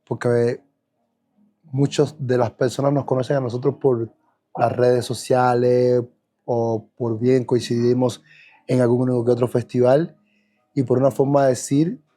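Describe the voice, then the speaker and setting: A man from Cuba with a Cuban Spanish accent, a dancer recounting his childhood in a relaxed, reflective podcast interview, with frequent fillers and restarts. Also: medium pitch, a little slow. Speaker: guest dancer. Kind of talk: podcast interview, personal storytelling.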